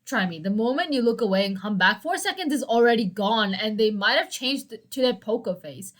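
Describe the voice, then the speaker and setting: A young woman with a hoarse, dry voice hosting a solo educational podcast, chatty and prone to self-deprecating asides. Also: on the high side, lively intonation, fairly quick. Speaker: podcast host.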